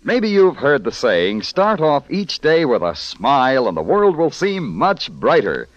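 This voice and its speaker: A man's voice: mid-range voice, huge pitch swings, measured pace, soft background music. A man with an American accent announcing in upbeat, polished 1940s radio commercial patter. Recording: dry, dull old radio recording.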